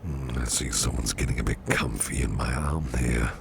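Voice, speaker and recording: A man playing a caring, courteous businessman boss in an ASMR roleplay, speaking in a soft, soothing, half-whispered murmur. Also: rumbling low, moderate pace, creaky, background noise, heavily acted. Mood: tender, soothing. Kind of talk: ASMR personal attention roleplay.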